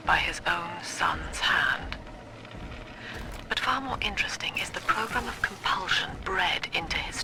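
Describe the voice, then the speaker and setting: An actress playing a cold, cerebral middle-aged psychiatrist and cult leader in a video game, speaking in a formal, precise, solemn manner. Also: female voice, lowish voice, gravelly, poor audio. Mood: hushed, musing. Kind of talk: dramatic video game monologue.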